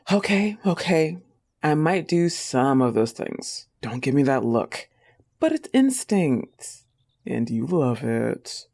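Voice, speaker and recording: A young woman playing an affectionate, possessive, teasing wolf-girl girlfriend in an intimate roleplay audio, tender and often breathy. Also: very deep voice, sing-song, slow pace, darkish tone, full character voice. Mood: playful teasing.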